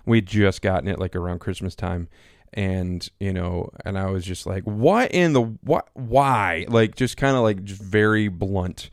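A pastor in his thirties talking casually as a podcast co-host, with a loud voice that carries, rambling with many fillers and restarts. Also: low voice, measured pace, no echo, studio quality. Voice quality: loud, carrying voice. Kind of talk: casual conversation. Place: small home studio.

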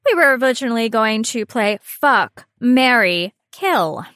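A woman's voice: on the high side, sing-song, measured pace, a bit bright, dry recording, studio quality. A woman co-hosting a podcast with casual, comedic banter in a playful, jokey manner. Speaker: podcast co-host.

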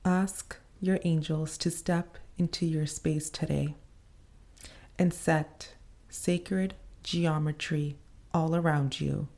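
Female meditation host: low-pitched, relaxed tone, soothing delivery in short, spaced phrases. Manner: soothing, unhurried, pausing between short phrases. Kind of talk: slow guided meditation.

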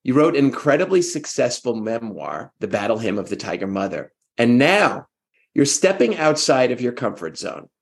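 Male interviewer with a lively middle voice. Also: mid-range voice, normal speed, vocal fry. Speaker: podcast host and interviewer. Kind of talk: interview questions.

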